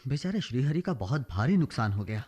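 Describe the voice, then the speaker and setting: Radio play: dignified, principled schoolmaster, medium voice with expressive rises and falls. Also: male voice, mid-range voice, husky. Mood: troubled, earnest. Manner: earnest, measured. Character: principled, dignified male village teacher and leader. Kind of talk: radio drama acting.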